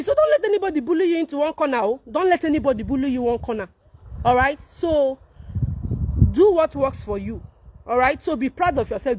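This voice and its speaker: A woman's voice: high voice, a little mellow, a little hiss or hum. A Nigerian woman whose first language is Igbo, talking casually and emphatically to her viewers, repeating points and asking rhetorical questions. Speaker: content creator.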